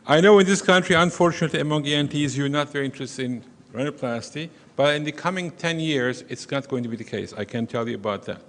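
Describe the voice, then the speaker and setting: Turkish-accented surgeon lecturing in a hall. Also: male voice, a little high, a bit bright. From western Turkey. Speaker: surgeon giving a conference talk. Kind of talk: conference lecture. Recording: long reverb of a conference hall.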